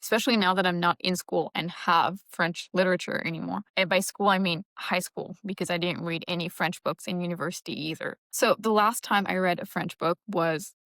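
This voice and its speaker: A woman's voice: on the low side, steady tone, fairly quick, few pauses, fairly bright tone, no echo. Chatty young woman, dry sound. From Mauritius. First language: Mauritian Creole. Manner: chatty, thinking aloud, self-deprecating with light laughs. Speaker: book vlogger. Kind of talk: casual reading-plans chat.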